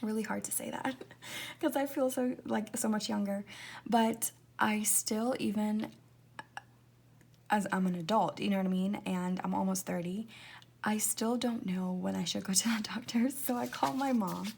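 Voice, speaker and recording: A woman in her twenties chatting casually in a rambling, confiding way, laughing often and slipping into soft whispers as she talks through decorating her planner. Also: mid-range voice, steady tone, moderate pace, gravelly, husky. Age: 20s. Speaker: planner vlogger.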